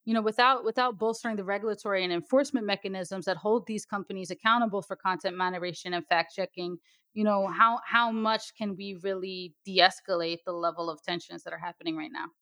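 A woman hosting an interview, asking long, winding questions at a quick pace. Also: medium pitch, fairly bright tone, no room sound, studio quality. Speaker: interviewer host.

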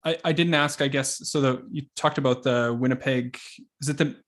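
Casting: man, average pitch, informal interviewer with an agreeable, halting conversational delivery. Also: fairly even intonation, fairly bright tone. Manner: agreeable, halting question phrasing. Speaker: podcast host, interviewer.

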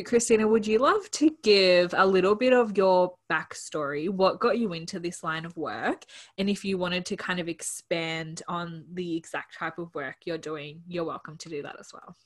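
A woman's voice: fairly low pitch, a bit bright. A woman hosting a podcast interview, enthusiastic and casual, often saying "like". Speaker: podcast host.

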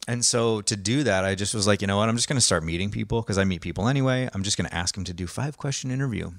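A man with a very deep, gravelly voice tells his story at length in a relaxed conversation. He sounds easygoing and self-aware. The recording is close and dry. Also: racing, no echo. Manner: long-winded, self-aware, laid-back storytelling. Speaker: podcast host and photographer. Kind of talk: casual conversation.

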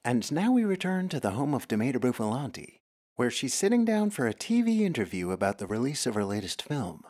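A man's voice: mid-range voice, sing-song, fairly quick, darkish tone. A man delivering scripted narration as the narrator of an audio drama.

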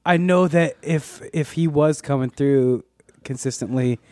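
A man's voice: on the high side, measured pace, warm tone, no echo. Rambling, profane male podcast co-host. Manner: profane, rambling, lots of restarts. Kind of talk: casual chat.

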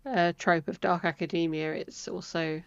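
Co-host: woman with a lower voice who thinks aloud, heard through muffled, dry audio. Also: fairly even intonation, moderate pace, clean tone, no echo. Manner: thinks aloud, repeats herself. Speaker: podcast co-host. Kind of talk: casual book discussion. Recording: dry, dull lo-fi sound.

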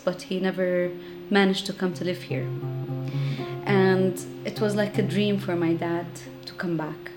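A woman in her thirties, raised in Jordan, telling her family's story reflectively with many fillers. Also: fairly low pitch. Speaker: interviewee. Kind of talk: personal storytelling. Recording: music playing under the voice.

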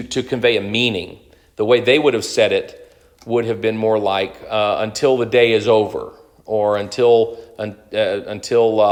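Thirtysomething guy explaining bible stuff, mid pitch, lots of uhs and restarts. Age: 30s. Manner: explanatory, thinks aloud with fillers and restarts. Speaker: Bible teacher. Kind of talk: unscripted lecture on scripture.

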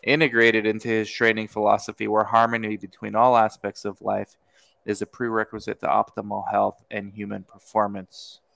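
A man hosting a podcast interview, talking in an energetic, informal way. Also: lowish voice, normal speed, creaky, no room sound. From United States. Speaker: podcast host. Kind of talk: casual interview chat.

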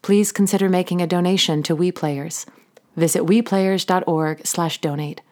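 Lady with a fairly low voice reading the outro for an audio drama, measured n earnest. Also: fairly even intonation, a little mellow. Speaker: host and narrator of an audio drama podcast. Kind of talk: scripted outro narration and credits.

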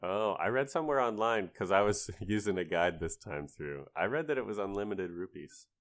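Low-voiced man in easygoing chat. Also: expressive, moderate pace. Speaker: podcast speaker. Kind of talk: casual chat about a video game.

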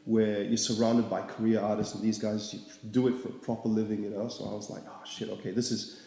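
A young man from Singapore chats loosely as an interview guest, filling his sentences with ums and asides. His voice is dark and mellow and sits a little low. The room is very echoey, with a long reverb trailing after each phrase. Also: a little fast, gravelly. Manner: relaxed, rambling, many fillers. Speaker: artist guest. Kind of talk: casual interview.

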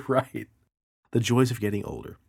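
A man in his thirties co-hosting a podcast, a little nasal from a sinus infection, telling animated stories with swearing and laughter. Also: medium pitch, gravelly, breathy edge. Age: 30s. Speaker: podcast co-host. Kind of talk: casual chat.

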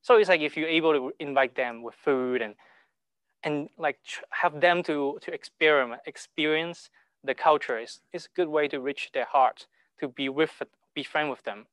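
Halting man. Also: fairly high pitch, a bit bright, clear, resonant tone, dry recording. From Hong Kong. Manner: halting, repeats words, broken grammar. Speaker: speaker sharing testimony. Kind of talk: informal talk to a church group.

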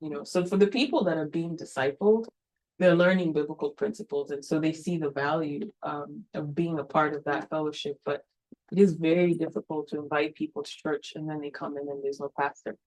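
A woman's voice: sits low, steady tone, fairly quick, darkish tone, low-quality recording. A woman from Kenya, a missionary planting a church, speaking earnestly at a mission event with many ums and you knows.

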